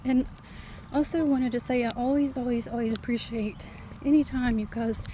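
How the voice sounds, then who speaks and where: A soft-spoken woman with a quiet voice, chatting casually to her viewers while walking outdoors in a park. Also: a little high, steady tone, normal speed, a little hiss or hum. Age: adult with a son. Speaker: vlogger. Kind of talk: casual walking vlog chat.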